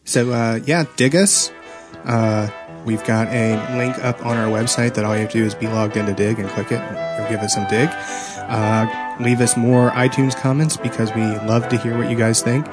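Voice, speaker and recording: A man's voice: mid-range voice, steady tone, normal speed. Male host, mid-range voice for a man, informal podcast talk over music. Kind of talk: casual group chat.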